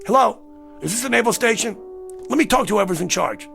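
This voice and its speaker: Male, high gravelly voice, agitated and haunted, insistent drama delivery over music. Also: animated, brisk, a bit bright, exaggerated voice. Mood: frantic. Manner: urgent, pleading. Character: agitated, insistent man haunted by a recurring dream.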